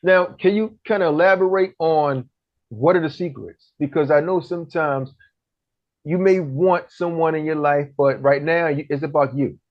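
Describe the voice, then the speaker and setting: A woman hosting an interview, chatting casually and backing up her guest with steady affirmations. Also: low-pitched, normal speed, highs cut off. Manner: supportive, frequent affirmations. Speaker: interview host.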